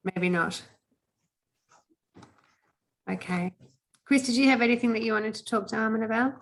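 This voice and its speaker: A woman's voice: on the high side, fast. A woman hosting an online meeting, informally passing on questions and comments. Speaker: meeting host, moderator.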